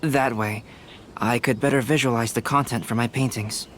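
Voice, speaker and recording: A man voicing a calm, composed young male painter in a video game, speaking in a measured way. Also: medium pitch, slight background noise, lightly acted. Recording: game audio with faint score.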